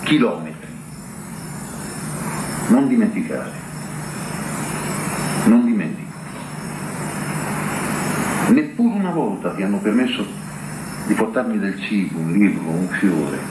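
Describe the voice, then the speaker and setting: A man giving a slow, dramatic poetry recital, deliberate and full of weighted pauses. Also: lowish voice, roomy sound, noisy background.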